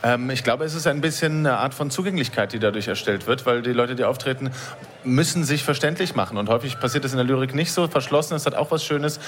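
A man, a poet and author, answering thoughtfully as a radio guest, sometimes stumbling over his words. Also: medium pitch. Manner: quick, thoughtful, some stammering. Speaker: poetry slammer and author.

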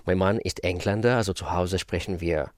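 A man from Russia speaks, answering interview questions in a low voice. He is thoughtful, pauses to search for words and laughs easily. The sound is dry and close, as in a radio studio. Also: fairly even intonation, a little mellow, no room sound. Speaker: guest pianist.

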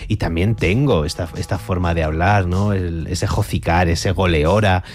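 A man's voice: sits low, fairly even intonation, normal speed. A man from Almería, Spain, talking as an author guest in a radio interview, chatty and full of restarts. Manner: chatty, digressive, many restarts.

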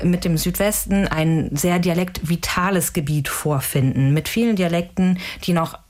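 A woman's voice: lowish voice, normal speed, darkish tone. A woman researcher giving careful, analytical answers in a radio interview, often framing points as rhetorical questions. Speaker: language researcher, interviewed expert.

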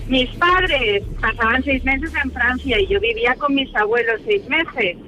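A woman from Valencia calling in to a radio show, chatty and animated as she tells a family anecdote, with a Valencian accent. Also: sits high, a little slow, faint music behind, rough recording. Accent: Valencian Spanish. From Valencia, Spain. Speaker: radio caller. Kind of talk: phone-in anecdote.

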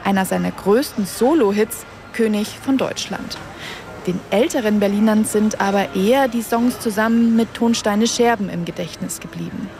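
A woman's voice: mid-range voice, moderate pace, a little mellow. A woman narrating a scripted news feature as a reporter. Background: music.

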